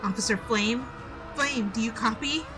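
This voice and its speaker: A woman's voice: on the high side, moderate pace, music in the background, close to an everyday voice. A woman playing a frightened young female pony police officer in a dramatized fan fiction audio drama. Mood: anxious, urgent.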